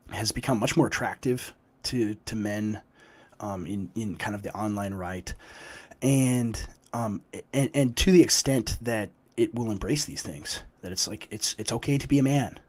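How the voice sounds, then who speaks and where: Hoarse, gruff young man with a cold. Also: mid-range voice, a little mellow. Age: young adult. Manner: emphatic, with frequent "right?" tags. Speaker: guest author. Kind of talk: conversational interview.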